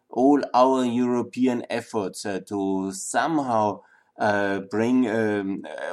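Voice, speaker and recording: Halting Austrian-accented man lecturing. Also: fairly low pitch, darkish tone. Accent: Austrian German. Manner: halting, many fillers and restarts. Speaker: speaker on Balkan history. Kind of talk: lecture to an audience.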